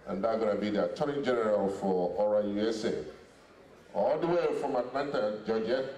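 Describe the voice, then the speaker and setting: A middle-aged man speaking formally and deliberately as master of ceremonies, introducing guests over a handheld microphone. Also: on the low side, mellow, distant mic, highs cut off. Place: large hotel banquet hall. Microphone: handheld mic over PA, picked up by distant camera. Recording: echoey banquet hall PA, from afar, music.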